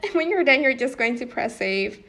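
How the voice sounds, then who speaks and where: A woman's voice: high voice, lively intonation, brisk, fairly bright tone. A woman presenting a casual how-to tutorial in an informal, slightly hesitant way, as if thinking aloud.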